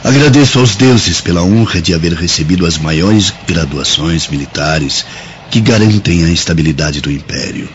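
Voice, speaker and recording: A self-satisfied young Roman officer in a radio play. His voice is low and animated, with a pompous formality. The recording sounds dull. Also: moderate pace. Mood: proud, formal. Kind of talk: radio drama acting. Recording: dull lo-fi radio drama, faint noise.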